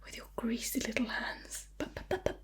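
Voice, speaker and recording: A woman whispering breathily in an ASMR roleplay, with a fussy, deliberate, mock-scolding manner. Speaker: ASMR creator playing a screen cleaner.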